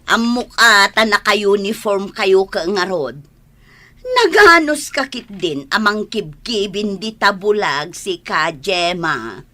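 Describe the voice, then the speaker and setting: A woman's voice: mid-range voice, animated, takes it slowly, pushed and bright. A woman with an Ilocano Filipino accent playing a resentful, gossipy neighbour in a radio drama, complaining sharply. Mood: prying.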